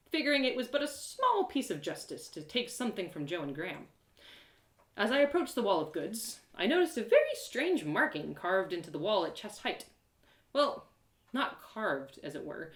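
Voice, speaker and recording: A woman voicing an excitable, plucky young girl adventurer who narrates her quest with dramatic, colloquial asides. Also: medium pitch, reverberant, close to an everyday voice. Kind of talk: first-person dramatic story narration.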